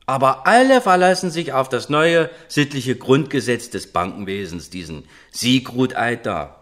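An actor playing an irritated, sceptical economist in a radio play, speaking scornfully and rhetorically. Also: male voice, mid-range voice, animated, moderate pace, fairly bright tone, close to an everyday voice. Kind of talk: dramatised quotation in radio play.

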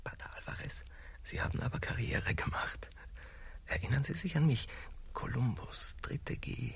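An actor in a satirical radio play, playing a restless, frustrated young explorer who pleads and insists. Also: male voice, mid-range voice, animated, moderate pace, gravelly, breathy edge, in a whisper, full character voice. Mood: hushed, eager. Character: restless, frustrated young Columbus as dreamer and schemer.

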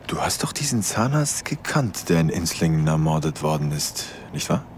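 A man's voice: sits low, big pitch swings, moderate pace, a little hiss or hum. A man playing a curious, candid acquaintance in a radio drama, chatting in a casual, slightly hesitant way. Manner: hesitant, conversational.

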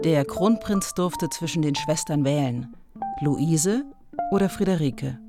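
A woman delivering literary scripted narration for a radio play in a measured, lyrical way. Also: low-pitched. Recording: music behind the voice.

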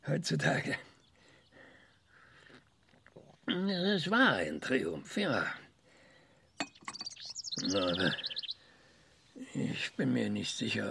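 An actor playing a proud, combative old science professor in a German radio drama, speaking insistently in halting, declamatory bursts. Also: male voice, medium pitch, vocal fry, background noise, heavily acted. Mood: wistful, agitated. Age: older.

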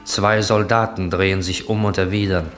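Low-pitched narrator in an old 60s radio play, measured literary delivery, music playing underneath. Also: male voice, flat delivery, a bit bright. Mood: measured, descriptive. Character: narrator describing a grotesque night town. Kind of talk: scripted radio drama narration.

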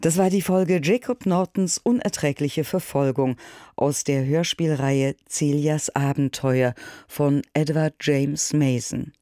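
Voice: medium pitch, steady tone, slow pace, warm tone, no room sound, clean studio sound. A radio announcer formally reading out scripted cast and production credits.

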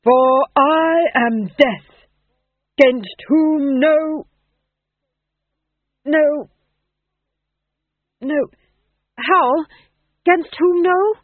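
Man doing a high-pitched, hammy nervous actor. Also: extremely slow, pauses often, no room sound, exaggerated voice. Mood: hammy, faltering. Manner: uncertain, hammy declaiming. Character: hesitant, insecure male amateur actor playing Death. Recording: narrow, tinny phone-like sound.

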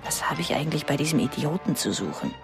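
An anxious wife thinks aloud in short, contemptuous phrases in a radio play. Her voice sits low for a woman, with music clearly heard behind it. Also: very animated, fast talker, full character voice. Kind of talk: clipped inner monologue in radio play.

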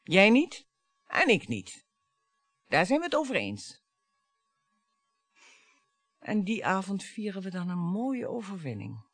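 A woman's voice: mid-range voice, huge pitch swings, slow pace, pauses often, no echo, soft background music, exaggerated voice. An actress in a radio play playing a bitter, taunting middle-aged wife and mother, sarcastic and dramatic. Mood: biting sarcasm. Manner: sarcastic, dramatic, swinging between hushed and biting.

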